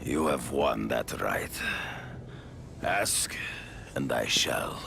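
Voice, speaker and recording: Very deep, gravelly Russian hunter villain. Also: male voice, animated, exaggerated voice. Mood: grave, formal. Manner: grave, formal, deliberate speech. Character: proud, menacing, honor-bound big-game hunter villain. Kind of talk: video game cutscene acting.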